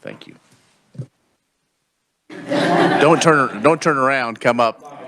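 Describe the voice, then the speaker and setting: A man chairing a legislative committee hearing. He runs the hearing in a relaxed, conversational way with some fillers. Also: medium pitch, drawn-out words, background noise. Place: state legislature committee room.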